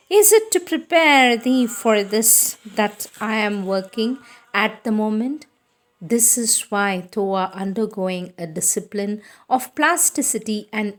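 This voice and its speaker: A woman reading spiritual writing aloud in a solemn, measured and formal manner. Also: mid-range voice. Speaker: reader of devotional writings.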